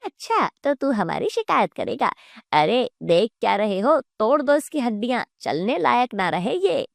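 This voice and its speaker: A greedy village landlord in a moral cartoon tale, played by a woman, menacing and commanding. The delivery is unhurried and dry, with big swings in pitch. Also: sing-song, vocal fry, dry recording, full character voice. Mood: threatening.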